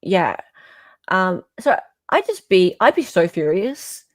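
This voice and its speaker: A woman's voice: on the low side, big pitch swings, takes it slowly, no room sound, clean studio sound. An Australian woman hosting a live stream in casual, opinionated chat, often checking for agreement as she goes.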